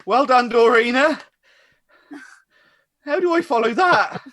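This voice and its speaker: A man's voice: very high-pitched, animated, slow, a bit bright, exaggerated voice. An actor playing a flustered, self-deprecating male amateur performer in a village radio comedy, hesitant and apologetic. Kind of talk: radio comedy drama acting.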